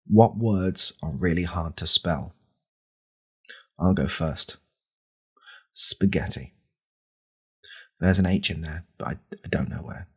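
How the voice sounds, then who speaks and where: A man playing a creepy, self-serious right-wing podcast host in a comedy parody, deadpan and halting, with a gravelly, husky voice. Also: low-pitched, heavily acted. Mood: solemn.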